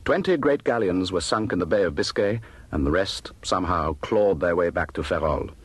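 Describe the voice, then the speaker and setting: A man narrates history with formal, measured delivery. His low voice has a husky grain and swings expressively through the phrases, with dramatic weight on key words. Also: animated, no echo. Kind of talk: scripted audiobook history narration.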